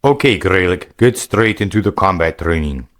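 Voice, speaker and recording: A man voicing a big, burly Viking warrior who gives steady, plain advice in a storybook reading. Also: low-pitched, normal speed. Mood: helpful.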